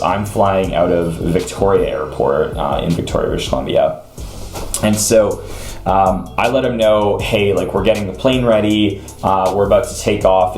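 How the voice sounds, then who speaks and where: Deep voiced guy, host chatting through a story, roomy echo w music under him. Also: a little mellow. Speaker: host of an aviation talk show. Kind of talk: casual motivational storytelling to listeners. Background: background music.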